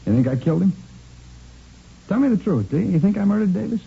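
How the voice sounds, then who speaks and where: An actor playing a talkative, cocky murder suspect in a vintage radio crime drama, casual and rambling, with repeats and restarts. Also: male voice, sits high, big pitch swings, fast talker, gravelly, warm tone, slightly rough, full character voice. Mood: needling, guarded. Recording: muffled, noisy vintage radio recording.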